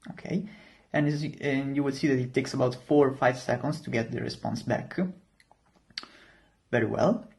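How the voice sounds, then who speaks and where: A young man presenting an informal software demonstration, walking the listener through it step by step. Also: medium pitch, fairly even intonation, moderate pace, creaky, pauses often, a little hiss or hum. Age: young adult. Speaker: student demo presenter.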